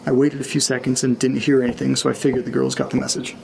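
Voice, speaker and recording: A man acting as a jittery guy keeping a spooky audio diary late at night. His voice is hushed and creaky, conversational and confessional. Faint noise and night insects sit behind him. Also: mid-range voice, level tone, fast. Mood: hesitant reading. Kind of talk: confessional audio-diary horror fiction, casual.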